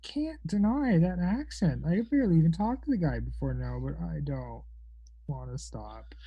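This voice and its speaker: Woman acting swoony smitten girl, low breathy husky voice, gushy, dull sounding mic. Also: animated, moderate pace, mellow, no echo, heavily acted. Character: smitten young woman swooning over a man. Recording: muffled, dull microphone.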